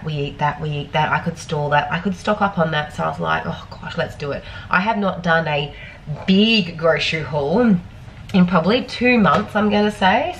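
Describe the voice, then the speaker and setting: A woman's voice: low voice, creaky, a little hiss or hum, rough recording. A woman in her thirties with an Australian accent, chatting casually in a home kitchen vlog, sniffly and losing her voice, rambling with frequent ums. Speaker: vlogger. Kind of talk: casual grocery haul vlog.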